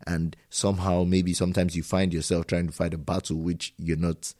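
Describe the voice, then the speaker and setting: A man's voice: sits low, flat delivery, moderate pace, darkish tone. A man hosting a casual conversation, talking in an earnest, reflective way about his own experiences as an immigrant. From immigrant living in Germany. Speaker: host.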